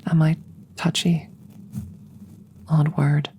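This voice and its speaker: A woman voicing a curious, calm, gentle female alien scientist in a measured, formal whisper for an ASMR roleplay. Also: deep voice, slight background noise. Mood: fascinated, gentle. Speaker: audio roleplay voice performer. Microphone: close microphone.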